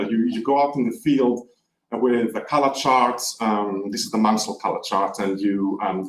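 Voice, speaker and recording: Low-voiced man lecturing in a roomy hall. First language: Greek. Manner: explanatory, poses rhetorical questions to the audience. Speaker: professor. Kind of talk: academic plenary lecture. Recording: roomy, distant from mic, faint background noise.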